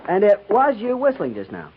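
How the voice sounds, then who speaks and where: A man's voice: high voice, wildly swooping pitch, moderate pace, a little hiss or hum. An American man acting an earnest, nosy, flustered junior cavalry lieutenant in a 1950s radio drama, hesitant and stammering. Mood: flustered, incredulous. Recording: dull 1950s radio recording.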